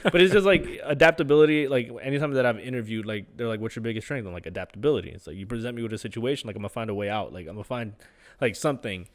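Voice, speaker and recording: A man in his twenties, a podcast guest, talking in a rapid, filler-heavy way on a studio mic. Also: medium pitch. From Maryland, USA. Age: 20s. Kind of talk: casual interview chat.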